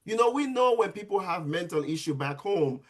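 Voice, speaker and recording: A man, a nurse guesting on a podcast, telling his story in a lively way with frequent restarts. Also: high-pitched, fairly quick. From Sierra Leone. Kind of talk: casual storytelling interview.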